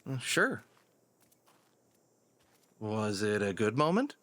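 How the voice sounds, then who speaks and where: A man voicing a young, teasing, excitable fox adventurer in a cartoon audio drama. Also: fairly low pitch, slow, exaggerated voice. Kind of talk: animated audio drama acting.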